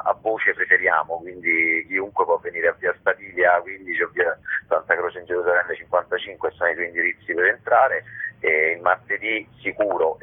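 A man's voice: sits low, slightly rough. A man speaking informally by telephone as an event organiser interviewed on the radio. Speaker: phone guest, event organiser. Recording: tinny, narrow telephone line.

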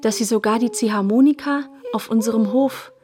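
Higher-voiced woman reading poetic prose. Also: a little fast, darkish tone, soft background music. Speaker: narrator reading literary prose. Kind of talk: scripted poetic narration for radio.

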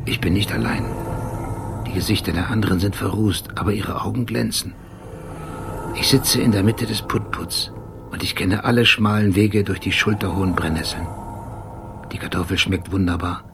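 An actor playing an obsessive, brooding police detective who recounts memories precisely in short sentences, in a radio drama. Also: male voice, sits low, steady tone, moderate pace, creaky, music in the background. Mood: brooding, remembering. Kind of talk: scripted radio drama monologue.

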